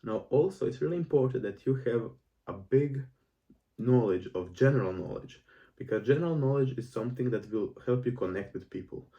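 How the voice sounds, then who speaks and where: A man's voice: medium pitch, expressive, fairly quick, warm tone, far from the mic, dull audio. A nineteen-year-old man from Croatia with a Croatian accent, talking in an earnest, rambling way to his audience about the jobs he has worked. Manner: earnest, rambling with restarts and fillers. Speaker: self-improvement vlogger. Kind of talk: casual monologue to camera.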